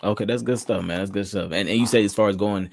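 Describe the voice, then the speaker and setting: A man with a low voice hosts a podcast interview. He talks in a relaxed, easygoing way and asks loose, casual questions. The recording is dry and close. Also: quick pace, creaky, no room sound. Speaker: podcast host.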